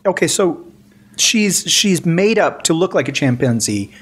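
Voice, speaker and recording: A man's voice: fairly high pitch, sing-song, unhurried, slightly rough. A man co-hosting a podcast in casual chat, stammering often and teasing his partner with dry questions. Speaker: podcast co-host.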